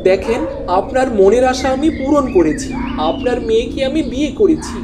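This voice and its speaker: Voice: medium pitch, animated, moderate pace, natural voice. A performer playing a bold, determined young man in a horror cartoon, speaking insistently and earnestly. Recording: loud music over the voice.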